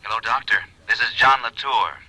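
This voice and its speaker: A man's voice: mid-range voice, fairly quick, sharp tone, slightly rough, dry recording. An actor playing an apologetic man calling from an airport, heard through a telephone filter. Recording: tinny phone line.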